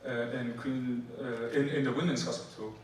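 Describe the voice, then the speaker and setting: A man in his forties with a Polish accent, performing spoken word poetry and joking between poems in halting, filler-heavy banter. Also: mid-range voice, fairly even intonation, slight background noise. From Poland. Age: 40s. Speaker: performance poet. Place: theatre stage before a live audience. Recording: echoey hall, mic from a distance. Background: audience laughter.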